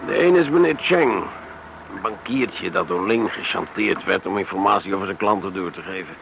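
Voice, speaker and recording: An actor playing a brisk, dryly ironic rescuer in a Dutch radio drama. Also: male voice, deep voice, gravelly. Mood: dry, teasing. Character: brisk, wry adult male rescuer and adventurer. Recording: noisy old radio drama recording.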